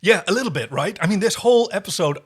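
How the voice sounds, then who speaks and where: Male podcast co-host, casual chat, playful, animated storytelling, slightly high pitch. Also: fast, slightly rough.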